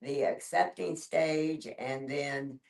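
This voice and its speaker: An older woman with a low voice talks slowly and haltingly, telling personal stories to a group. The mic is some distance away. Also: fairly even intonation, vocal fry, a little mellow. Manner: halting, with pauses. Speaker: discussion group participant. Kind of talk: personal storytelling in a group discussion.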